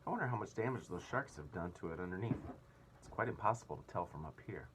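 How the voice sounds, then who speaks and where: An earnest young boy from a storybook, voiced by a man. He is excitable, with very animated intonation. There is faint noise in the background. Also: low voice, quick pace. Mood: worried.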